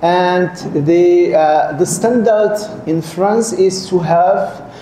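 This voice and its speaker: A man's voice: high voice, very slow, mellow. Middle-aged male lecturer, heard in an echoey lecture hall with a faint hum, addressing students and checking in with them often. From Algeria. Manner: keeps checking in with the audience, repeats and restarts phrases. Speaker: guest professor lecturing students. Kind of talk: seminar lecture.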